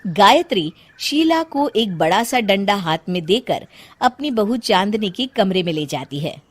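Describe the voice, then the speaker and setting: A woman's voice: mid-range voice, sing-song, a little slow, dry recording. A woman narrating a scripted comic story as its storyteller.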